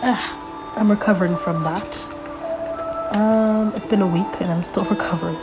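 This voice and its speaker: A young woman hosting a casual solo podcast, a little congested from being sick, rambling with lots of fillers as she thinks out loud. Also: mid-range voice, fairly even intonation, normal speed, over loud music. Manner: rambling, filler-heavy, thinking aloud while flipping pages. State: congested from a recent illness. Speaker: podcast host.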